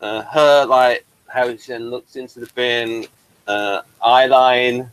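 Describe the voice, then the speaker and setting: A man's voice: medium pitch, steady tone, slow pace, clean tone, dry recording, rough recording. A man talking casually about his stage play, rambling with many fillers and restarts. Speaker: playwright showing his work. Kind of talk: casual chat on a video call.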